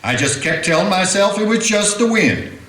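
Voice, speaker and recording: A man playing an uneasy frontier deputy in a radio play, speaking with rough country grammar. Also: sits high, lively intonation, normal speed, a bit bright. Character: jumpy frontier deputy, spooked and wondering. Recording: echoey room.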